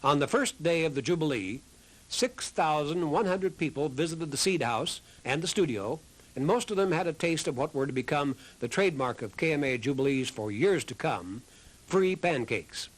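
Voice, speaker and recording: Husky American male documentary narrator. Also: a little high, lively intonation, normal speed, rough recording. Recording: music under the voice.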